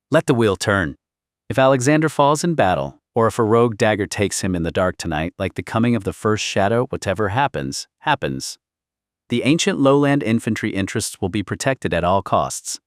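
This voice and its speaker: A grim old warrior voiced by a male narrator speaks like a weary, fierce patriarch who has seen it all. The pitch is fairly low and the audiobook sound is dry and close. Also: animated, moderate pace, no echo, natural voice. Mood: grim resignation.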